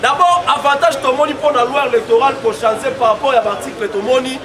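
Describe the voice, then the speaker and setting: A man's voice: extremely high pitch, quick pace. A man with a Congolese accent giving an emphatic, repetitive political street speech, prompting his listeners with questions and slogans. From Democratic Republic of the Congo. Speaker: political activist and street orator. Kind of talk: rallying political street speech with call and response. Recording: noisy outdoor crowd, roomy echoing sound, traffic. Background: loud crowd and vehicle noise.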